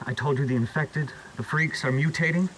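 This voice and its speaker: A man's voice: a little high, brisk, background noise, close to an everyday voice. A man acting as a guarded, earnest government researcher in a video game cutscene, explaining in a clipped, defensive way. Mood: businesslike. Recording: loud music behind, game cutscene.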